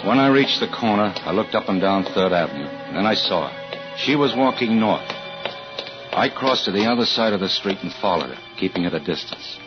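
An American man playing an anxious, suspicious husband narrating a 1940s radio suspense drama, with deliberate, clipped delivery. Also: on the low side, expressive, close to an everyday voice. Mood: grim, tense. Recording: muffled 1940s radio recording with music.

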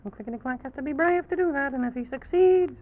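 A man voicing an excitable, bumbling, boastful little president who stammers and repeats his words in an old children's radio story. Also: very high voice, fast talker, voice pushed up. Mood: determined, proud. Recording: dull, muffled old radio recording.

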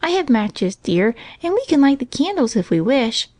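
A woman's voice: sits high, big pitch swings, moderate pace, a little mellow, no room sound, pitched above the performer's own voice. A woman voicing an eager, wheedling, sweet and bold little girl in a storybook reading. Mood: hushed, coaxing. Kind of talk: storybook character voice.

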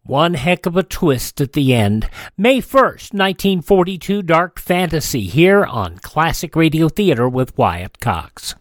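Guy hosting radio show, scripted, slightly high voice, dry studio-like sound. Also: sing-song, a little slow, no room sound.

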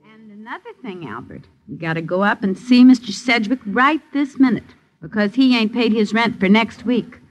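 A woman's voice: fairly high pitch, animated, moderate pace, lightly acted. An actress playing a querulous, grasping boarding-house landlady in a 1950s radio drama, scolding in folksy, ungrammatical speech. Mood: nagging, aggrieved. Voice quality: uneducated, folksy grammar. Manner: nagging, scolding. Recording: dull vintage radio recording.

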